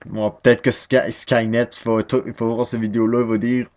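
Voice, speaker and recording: A man's voice: medium pitch, flat delivery, normal speed, a little mellow. A nineteen-year-old man with a Québécois accent talking into his webcam at home, hesitant and self-deprecating, stumbling and restarting often. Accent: Québécois French. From Quebec, Canada. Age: 19, young adult. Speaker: first-time vlogger. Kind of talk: casual rambling webcam talk.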